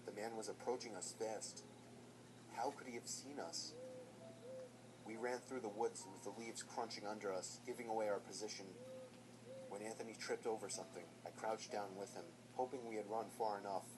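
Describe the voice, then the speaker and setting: A man telling a first-person horror story in a measured, tense scripted narration. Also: lowish voice, fairly even intonation, background noise.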